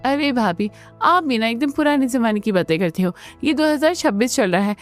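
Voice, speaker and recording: Woman acting bubbly cartoon sister-in-law, youthful, high voice, eager, admiring. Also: animated, brisk, soft background music, close to an everyday voice. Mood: playful. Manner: gushing, eager. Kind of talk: Hindi family cartoon dubbing.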